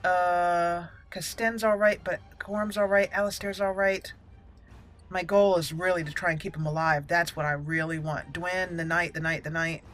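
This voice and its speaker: A woman's voice: lowish voice, fairly even intonation, normal speed, a bit bright, faint music behind. A woman giving casual let's play commentary as a channel host, thinking aloud and repeating words as she goes.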